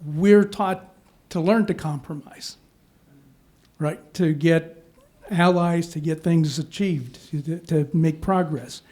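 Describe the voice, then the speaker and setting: A man moderating a panel discussion, framing long, measured questions and checking in with the room. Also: a little high, mellow.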